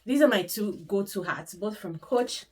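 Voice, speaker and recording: A woman's voice: mid-range voice, lively intonation, moderate pace, a little mellow, breathy edge. A woman in her thirties, sniffly with a cold, chatting casually to the camera about her weekend and bags. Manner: chatty, gesturing with her hands. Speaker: vlogger. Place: room in front of a handbag shelf. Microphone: camera in front.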